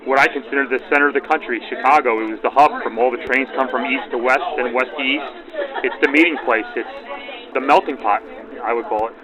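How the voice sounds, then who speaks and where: A man's voice: medium pitch, steady tone, fast talker. A man answering interview questions unscripted, in plainspoken short phrases, through an old radio filter. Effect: backdated 1940s radio filter. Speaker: railroad photographer, interviewee. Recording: dull lo-fi radio sound, crowd behind.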